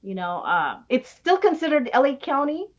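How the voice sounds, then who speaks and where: A woman from the Philippines talking as a podcast guest, rambling warmly with many fillers. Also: high-pitched, big pitch swings, moderate pace, muffled recording. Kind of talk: casual interview.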